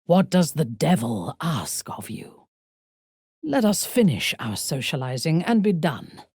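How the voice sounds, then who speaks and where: A ruthless dark elf noblewoman from a fantasy video game. Her low voice moves slowly and deliberately, swinging widely in pitch. She is imperious and sardonic, with formal diction and cool menace. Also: sing-song, breathy edge, no room sound, clean studio sound, full character voice. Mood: wry, cool. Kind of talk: video game character voice acting.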